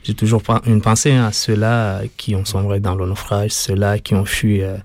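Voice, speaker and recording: A man in his thirties from Sudan, a guest author speaking slowly and earnestly in a radio interview, with many pauses. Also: on the low side, flat delivery, a little mellow, dry recording. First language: Arabic. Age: 30s.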